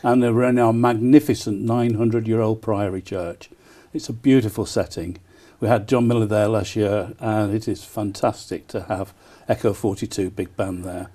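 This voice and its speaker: An enthusiastic man promoting an event as a broadcast interview guest, often pausing on small hesitations. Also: lowish voice, fairly even intonation, mellow. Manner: enthusiastic, frequent hesitant ers. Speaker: town crier and festival promoter, interview guest.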